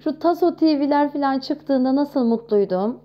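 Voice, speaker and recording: A woman in her thirties talking casually to camera in a chatty, expressive way, showing off nostalgic things. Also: high voice, a little slow, lo-fi sound. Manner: chatty and expressive, with frequent exclamations. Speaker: vlogger.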